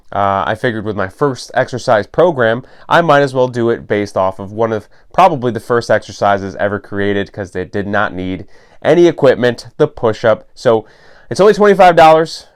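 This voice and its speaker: A young man hosts a casual solo fitness podcast, reading from an article in a fairly monotone delivery. His voice is smooth and clear at an ordinary middle pitch. He breaks off now and then for dry, sarcastic remarks. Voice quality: self-described monotone voice. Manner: dry, sarcastic asides, occasional swearing. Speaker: podcast host.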